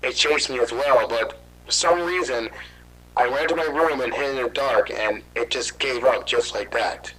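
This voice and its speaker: Man playing a scared, rambling witness, bright tense voice, curses when he panics, horror audio drama. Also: medium pitch, moderate pace, natural voice, low-quality recording. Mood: shaken. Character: frightened man hunted by monsters.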